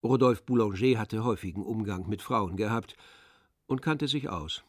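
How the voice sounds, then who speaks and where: A man's voice: fairly low pitch, moderate pace, dry recording, clean studio sound. A man narrating a literary radio play in a scripted storytelling style.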